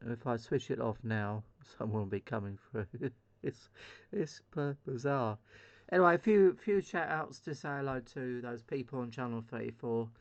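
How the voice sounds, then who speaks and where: A man's voice: mid-range voice, lively intonation, moderate pace, dull audio. A British man chatting casually as a CB radio hobbyist on his video channel, rambling hesitantly in a self-deprecating way. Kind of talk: casual hobby vlog chat.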